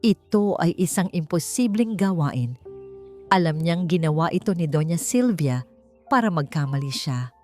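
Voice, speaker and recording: A woman's voice: on the low side, a little mellow. A woman narrator reading a scripted romance story in a dramatic storytelling style.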